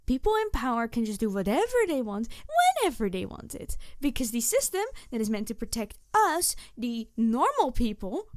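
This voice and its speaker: High-voiced snarky female supervillain, acted by a woman. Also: no echo, clean studio sound. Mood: angry, fed up. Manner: snarky, intense, sighing, shifting from emphatic to hushed. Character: snarky, embittered, idealistic female supervillain confronting her nemesis. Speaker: voice actor. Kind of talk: ASMR audio roleplay monologue to the listener.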